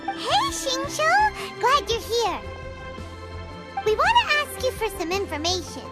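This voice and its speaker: A woman voicing a tiny, childlike fairy companion in a video game, curious and excitable, with a squeaky voice. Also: slow pace, full character voice. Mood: eager. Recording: music behind the voice.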